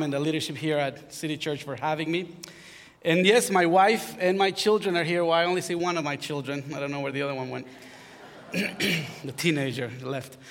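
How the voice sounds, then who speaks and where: A man in his forties from Honduras with a Honduran Spanish accent, preaching a sermon as pastor, joking about himself and building to fervent exhortation. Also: a little high. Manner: warm self-deprecating humor, rising to fervent preaching. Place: church sanctuary. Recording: long hall reverb.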